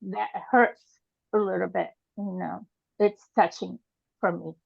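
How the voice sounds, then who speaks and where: Brazilian-born older woman on a video call, slow and halting, gravelly, dull lo-fi sound. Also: medium pitch, steady tone, no echo. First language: Portuguese. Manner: halting, hesitant, many fillers. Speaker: group participant. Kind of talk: casual sharing in an online group.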